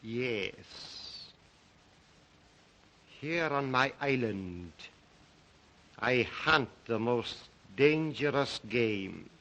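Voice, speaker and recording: An actor playing a suave, obsessive Russian count and hunter, speaking with a Russian accent in a deliberate, theatrical, old melodrama manner. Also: male voice, medium pitch, expressive, pushed and bright, muffled recording, a little hiss or hum, heavily acted. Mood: brooding, intense. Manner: theatrical, deliberate, brooding, courtly. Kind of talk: 1930s film-era melodramatic acting.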